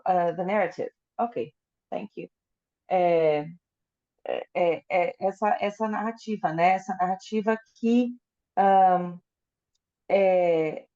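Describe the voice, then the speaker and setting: Mellow-voiced woman interpreting with hesitations. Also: mid-range voice, lo-fi sound. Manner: hesitant, with fillers and self-corrections. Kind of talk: consecutive interpreting into Portuguese.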